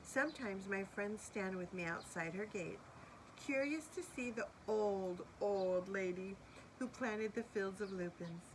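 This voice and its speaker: Expressive woman reading a picture book aloud. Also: mid-range voice, measured pace, a little hiss or hum, poor audio. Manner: expressive, questioning the listeners as she reads. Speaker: storybook reader. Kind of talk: picture book read-aloud with asides to young listeners.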